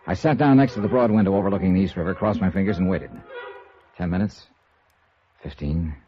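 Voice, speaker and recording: An actor playing a suave, wry, easily flustered investigator in 1950s radio noir style. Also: male voice, low-pitched, a little fast, background noise, close to an everyday voice. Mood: smooth, wry narrating. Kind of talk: 1950s radio noir acting with first-person narration. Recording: dull vintage radio recording with music behind.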